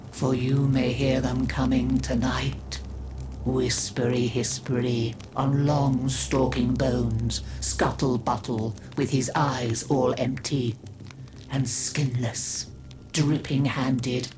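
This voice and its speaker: A woman narrating a creepy fairy tale for a game, dramatic and often sinking into hushed whispers. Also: a bass voice, slow pace, background noise. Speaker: storyteller.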